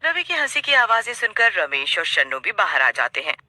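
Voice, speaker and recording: A woman's voice: medium pitch, sing-song, normal speed, pushed and bright, no room sound. A woman reading scripted narration for a children's story.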